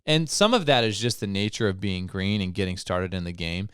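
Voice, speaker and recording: A man's voice: sits low, fairly quick, smooth, dry recording, studio quality. A man co-hosting a podcast in casual, conversational talk, laughing easily. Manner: conversational, laughs easily, slips into quoted voices. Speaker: podcast co-host. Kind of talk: casual chat.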